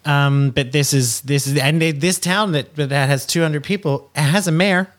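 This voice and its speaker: A man chatting casually, talkative and animated, often breaking into laughter as he tells stories. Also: fairly high pitch, normal speed. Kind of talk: casual chat.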